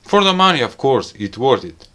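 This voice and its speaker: A man's voice: medium pitch, big pitch swings, normal speed. A man hosting a casual hands-on product review, speaking English with non-native phrasing. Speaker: host of a gadget review channel. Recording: loud music behind the voice.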